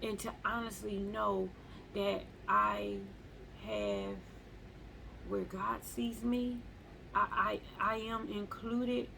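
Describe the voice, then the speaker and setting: A woman talking slowly and haltingly in a reflective live journal at home, often repeating words as she searches for them. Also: medium pitch, animated, creaky, pushed and bright, a little hiss or hum, rough recording. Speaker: vlogger.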